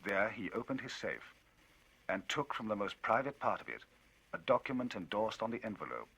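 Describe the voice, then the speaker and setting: A man reading a classic novel aloud, narration sunk low and hushed between the dialogue. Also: lively intonation, moderate pace, vocal fry, slightly rough, poor audio. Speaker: audiobook reader. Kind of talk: dramatic literary reading.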